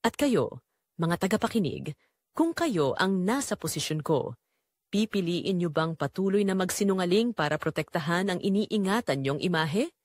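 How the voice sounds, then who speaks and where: A woman's voice: on the low side, lively intonation, normal speed, a little mellow, no echo, studio quality. Woman acting anguished mother with dramatic swings. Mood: remorseful. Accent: Filipino. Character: guilt-ridden Filipina mother and wife confessing her betrayal. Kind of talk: dramatic first-person audio drama narration.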